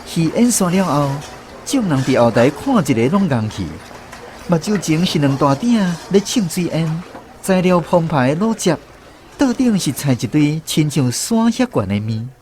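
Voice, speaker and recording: A man's voice: a little high, sing-song, normal speed, darkish tone, slight background noise. A man with a Taiwanese accent narrating a radio drama as a reminiscing storyteller. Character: reminiscing male storyteller of a touring troupe.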